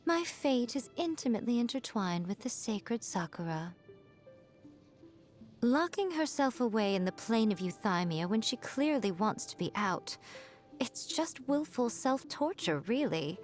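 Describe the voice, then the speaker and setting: A woman voicing a sly, elegant fox shrine maiden for a video game, poised and coy, with a teasing, wryly condescending manner and drawn-out phrasing. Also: fairly high pitch, sing-song, soft background music. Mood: smug, teasing. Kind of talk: scripted video game character voice lines.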